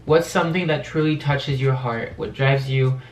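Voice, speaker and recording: A man's voice: mid-range voice, fairly even intonation, normal speed, reverberant, soft background music, low-quality recording. A young man with a French accent chatting casually to camera as a video blogger, his voice affected by allergies. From France. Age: young adult.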